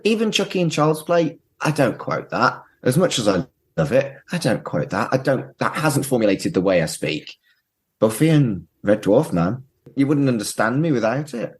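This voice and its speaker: A man's voice: medium pitch, sing-song, normal speed, a little mellow. A man in his forties hosting a casual podcast, talking in an emphatic, sweary way. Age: 40s. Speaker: podcast host.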